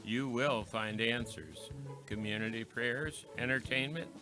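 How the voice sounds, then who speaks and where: Male announcer, old-time radio style: mid-range, measured, theatrical, music underneath. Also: mid-range voice, rough recording.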